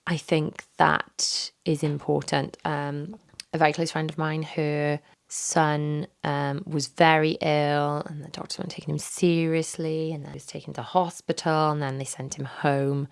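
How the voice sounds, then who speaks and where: A woman in her thirties hosting her own podcast from a home office, hoarse from a recent illness, chatting candidly with lots of fillers into a microphone. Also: sits low, level tone, measured pace. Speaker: podcast host. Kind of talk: casual solo podcast chat. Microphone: studio microphone.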